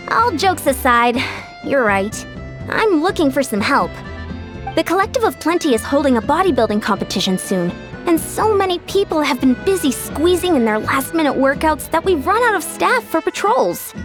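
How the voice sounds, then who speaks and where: High lively coach lady, teasing but sensible, game music clearly under. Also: normal speed. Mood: earnest. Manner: playful teasing, then earnest explaining. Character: upbeat fitness coach woman, teasing, sensible and friendly. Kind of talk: video game cutscene dialogue.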